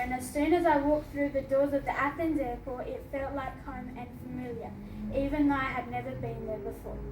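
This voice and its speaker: Teen girl reading her essay, earnest, recorded from afar in a noisy, roomy hall. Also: high voice. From Australia. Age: teenager. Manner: earnest, with small laughs. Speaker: student reading her story. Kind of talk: reading a personal essay aloud. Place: hall at a book launch. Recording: echoey hall from far off, noisy.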